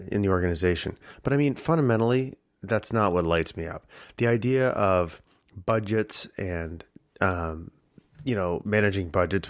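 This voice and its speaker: A man hosting a casual solo podcast with a husky voice, reflective and rambling with many fillers. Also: low-pitched, no room sound, clean studio sound. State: early-morning tired voice. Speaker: podcast host.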